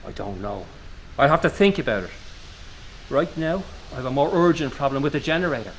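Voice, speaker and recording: A man's voice: mid-range voice, lively intonation, fairly quick, a little hiss or hum, close to an everyday voice. A man playing a weary, irritable middle-aged circus boss in a radio drama, speaking in a curt, exasperated way. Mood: defensive, terse. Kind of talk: naturalistic radio drama acting.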